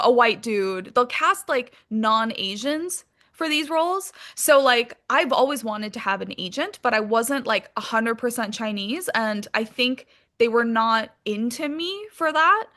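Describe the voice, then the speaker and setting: A woman's voice: a little high, animated, unhurried, fairly bright tone. A woman, an actress from Hong Kong, chatting casually and animatedly as an interview guest, with informal, sweary phrasing full of "like". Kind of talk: casual interview chat, telling career anecdotes.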